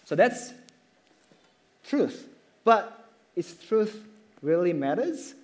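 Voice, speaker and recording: Guy giving a sermon, kinda high voice, lots of uhs and restarts. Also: big pitch swings. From Indonesia. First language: Indonesian. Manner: halting, with many fillers and restarts. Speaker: preacher. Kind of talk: sermon with slides.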